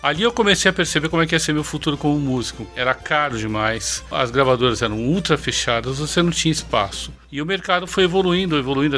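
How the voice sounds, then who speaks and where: Fast, bright-voiced Brazilian man. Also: on the high side. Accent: Brazilian Portuguese. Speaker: music producer guest. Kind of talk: storytelling interview answer.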